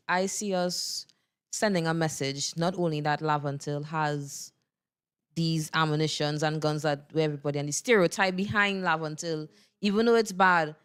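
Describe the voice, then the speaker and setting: A woman's voice: low-pitched, clean tone. A woman with a Trinidadian accent giving earnest interview answers as a member of a performing group.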